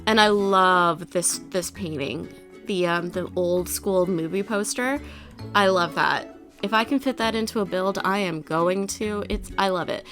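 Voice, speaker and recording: A young woman speaks with a stuffy, nasal sound brought on by allergies. Her talk is loose and rambling, full of little fillers. Clear music plays underneath her voice. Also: medium pitch, measured pace, fairly bright tone. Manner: chatty and rambling, frequent "like". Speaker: video creator. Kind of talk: casual commentary over a building video. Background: background music.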